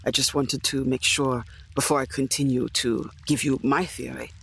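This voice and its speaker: A man playing a tabletop game in character, speaking hesitantly with careful, polite phrasing and a husky, creaky voice. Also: medium pitch, flat delivery, moderate pace, a bit bright. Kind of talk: improvised in-character game talk.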